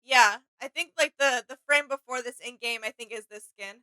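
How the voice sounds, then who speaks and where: A woman's voice: a little high, fairly quick, pushed and bright. A woman co-hosting a reaction video, chatting casually and sharing her reactions. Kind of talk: casual chat, agreeing reactions.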